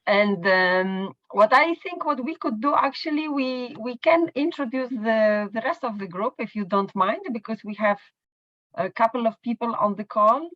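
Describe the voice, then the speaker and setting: A woman moderating an online panel over a video call. She talks in a friendly, halting way, with fillers. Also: a little high, expressive, dry recording. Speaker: meeting moderator. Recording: muffled video call audio.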